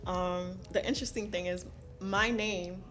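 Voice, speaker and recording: A young woman from Haiti talks casually as a podcast guest, recounting her childhood with lively energy and easy laughter. Her voice sits at a middle pitch. Soft music plays faintly underneath. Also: unhurried. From Haiti, raised in South Florida. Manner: animated, laughs while telling stories, many "um"s. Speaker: guest, school counselor. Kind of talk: casual interview storytelling.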